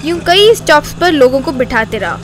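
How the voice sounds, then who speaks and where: A woman's voice: high-pitched, animated, moderate pace, soft background music. A woman narrating a scripted children's story as the storyteller.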